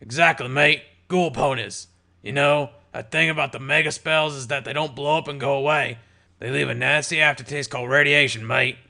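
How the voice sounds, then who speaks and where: A man's voice: medium pitch, steady tone, normal speed, vocal fry, sharp tone. A man voicing a jovial old male ghoul pony bartender, chummy and calling everyone mate. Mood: amused. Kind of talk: audiobook character voice.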